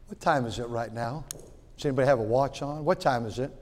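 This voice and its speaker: A man in his sixties preaching a sermon in a folksy way, repeating words and swinging from loud to quiet. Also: mid-range voice, quick pace, in a large hall. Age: 60s. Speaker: pastor.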